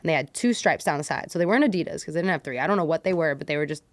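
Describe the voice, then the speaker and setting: Fast-talking woman, slightly low voice. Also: no echo. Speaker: podcast guest. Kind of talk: casual personal storytelling.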